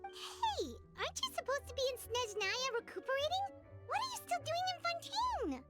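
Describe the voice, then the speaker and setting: A woman voicing a small, chirpy, inquisitive fairy companion with a squeaky childlike voice in a video game. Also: huge pitch swings, moderate pace, over loud music, full character voice. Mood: surprised, curious. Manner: exclamatory, eager questioning.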